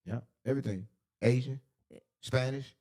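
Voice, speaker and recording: A man's voice: mid-range voice, normal speed, dark tone. A man from the American Midwest with an American accent hosts a casual talk show, arguing in slangy, emphatic bursts and repeating himself. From St. Louis, American Midwest. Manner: emphatic, repetitive, argumentative, slangy. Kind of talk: casual heated banter.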